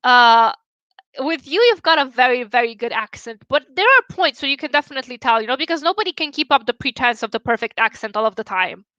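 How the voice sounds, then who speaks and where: A woman's voice: sits high, lively intonation, fast, sharp tone, dry recording, muffled recording. A young woman from Romania with a Romanian accent, chatting candidly with her livestream viewers and swearing casually. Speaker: livestream host.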